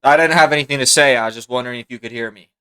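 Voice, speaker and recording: A man with a bright, forceful voice, talking casually on a stream. He teases and puts on mock indignation. His pitch is mid-range for a man. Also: very fast, smooth.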